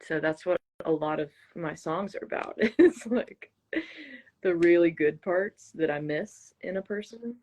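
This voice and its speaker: A woman's voice: on the low side, a little slow, darkish tone. A woman being interviewed, talking casually and reflectively, hesitant as she searches for her words. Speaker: interview guest, singer-songwriter.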